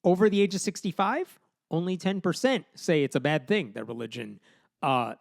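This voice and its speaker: A man's voice: on the high side, moderate pace, gravelly, no echo, clean studio sound. A man co-hosting a podcast, walking through poll numbers and arguing his points in casual chat. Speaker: podcast host.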